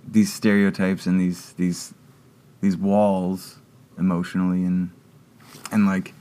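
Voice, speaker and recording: A man in casual conversation as an interview guest, talking haltingly with many restarts and fillers. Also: low voice.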